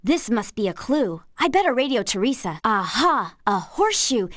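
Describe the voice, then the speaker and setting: Cheerful young american girl detective on horseback for a pc game, high bouncy voice, super upbeat. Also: sing-song, moderate pace, fairly bright tone, dry recording, studio quality. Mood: eager, curious. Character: cheerful, helpful young woman horse rider and amateur sleuth. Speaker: voice actor. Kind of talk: scripted game character dialogue.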